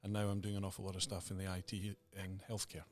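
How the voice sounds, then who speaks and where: Scottish podcast guest, middle-aged man, low voice, easygoing and chatty. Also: monotone, quick pace. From Scotland. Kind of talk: casual interview chat.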